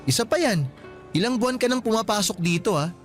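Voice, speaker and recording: A man's voice: high-pitched, animated, brisk, faint music behind. An actor playing a young male student boyfriend in a radio drama, playful and teasing but frank when he turns serious.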